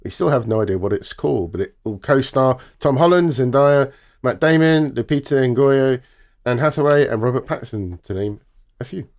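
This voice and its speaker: A man's voice: mid-range voice, animated, a little fast, a little mellow. A man co-hosting a casual podcast, reading out news items and adding dry, wry asides.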